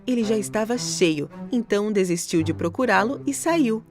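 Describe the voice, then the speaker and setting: A woman narrating a scripted children's storybook in an expressive, dramatic way. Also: medium pitch, moderate pace. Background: music.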